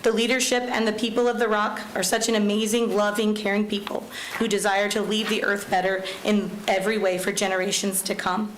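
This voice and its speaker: Woman, school principal, loud voice, reading a letter at public comment; roomy, echoey chamber. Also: medium pitch, flat delivery, fairly quick. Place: county commission chamber. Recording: echoey chamber with faint hum.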